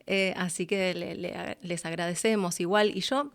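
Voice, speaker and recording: A woman's voice: fairly low pitch, steady tone, normal speed. A woman with an Argentine accent talking expressively and colloquially as an interview guest. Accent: Argentine Spanish. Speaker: guest, voice actress and announcer. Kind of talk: conversational interview.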